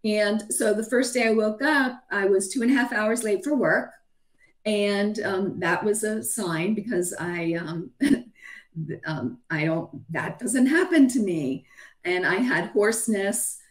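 A middle-aged woman with a hoarse voice giving a matter-of-fact slide talk about her own illness over a video call, with frequent ums. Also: medium pitch, slow pace. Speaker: physician sharing her own illness story. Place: home room, video call. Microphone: webcam on a video call.

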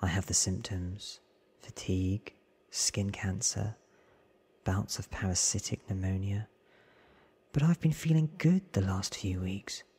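A man voicing a candid, careful, sickly young man in a hushed, earnest ASMR storybook reading. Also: low-pitched, measured pace, exaggerated voice. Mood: candid, resigned. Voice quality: breathy.

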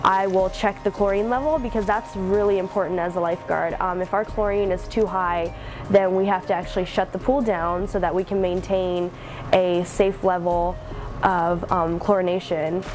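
A woman's voice: on the low side, moderate pace. A young woman, a lead lifeguard, talking casually about her work with plenty of fillers, recorded outdoors on a pool deck. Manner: relaxed, chatty with many fillers. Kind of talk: casual documentary interview. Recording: music bed underneath.

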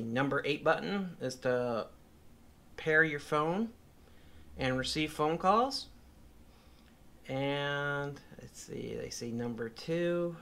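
A man's voice: mid-range voice, bright, forceful tone, a little hiss or hum. A man filming a casual unboxing vlog in his home office, talking in a rambling, chatty way and often correcting himself. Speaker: motorcycle vlogger.

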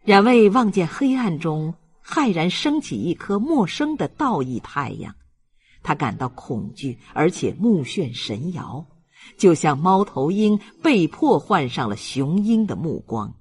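A man's voice: on the high side, big pitch swings, unhurried, darkish tone. A man narrating a literary novel as a scripted audiobook reading.

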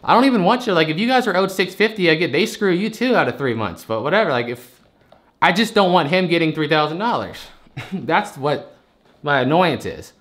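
A man's voice: on the high side, lively intonation, brisk, a bit bright. A man, a storage unit buyer arguing his case, frustrated and rambling, repeating himself as he pushes his point. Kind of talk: heated phone argument.

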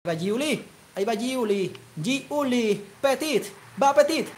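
A young man from Colombia's Caribbean coast with a coastal Colombian accent, casually chatting with viewers and calling a football video game match, his voice climbing when he shouts goal calls. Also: very high voice, expressive, unhurried, slight background noise. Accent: Colombian Caribbean coastal Spanish. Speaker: gaming streamer. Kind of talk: live football game commentary and chat.

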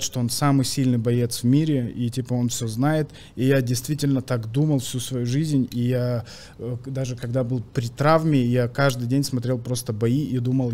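A young man, a comedian guest from Kazakhstan, talking in a podcast studio on a studio mic, animated, with loud bursts and quiet asides. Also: mid-range voice. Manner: animated storytelling with hand gestures, swings between loud bursts and quiet asides. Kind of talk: casual podcast chat. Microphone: studio mic with headphones.

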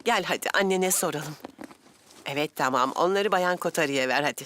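A woman playing a warm, chatty grandmother in a Turkish children's dub, speaking with affectionate encouragement. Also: low-pitched, normal speed, a little hiss or hum. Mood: encouraging.

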